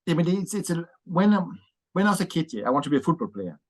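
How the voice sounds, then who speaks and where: A man's voice: fairly high pitch, very animated, quick pace. Danish guy in his 50s, accented english, lots of uh and yeah, easygoing guest chat. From Denmark. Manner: rambling, many fillers and "yeah" tags. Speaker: interview guest, wine bar owner. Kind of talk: casual interview chat.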